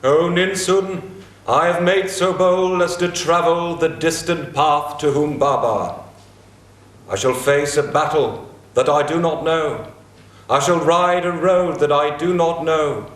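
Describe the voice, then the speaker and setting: A man's voice: on the high side, slow pace, a bit bright. A man reading the part of a proud young warrior king in a staged reading of an old epic. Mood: pleading. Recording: echoey room, mic at a distance.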